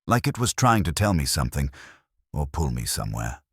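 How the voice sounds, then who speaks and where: A man voicing a shaken, bewildered man under police questioning in an audio drama, halting and insistent. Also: a bass voice, very animated, a bit bright, breathy edge, no room sound, studio quality, heavily acted. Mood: haunted.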